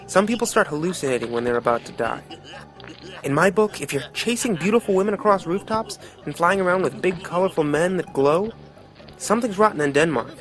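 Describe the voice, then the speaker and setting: A man's voice: fairly high pitch, close to an everyday voice. A man playing a wry, streetwise storyteller, narrating a motion comic in a folksy, reflective, colloquial way. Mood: wry, ominous. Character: wry, streetwise male storyteller musing on death.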